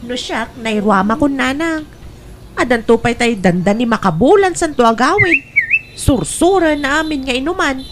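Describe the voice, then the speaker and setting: An actress plays a wistful, fretful Filipino sister for radio drama. Her fairly high voice moves up and down a great deal. Loud noise sits behind the recording. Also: expressive, normal speed. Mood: worried. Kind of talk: Ilocano radio drama acting.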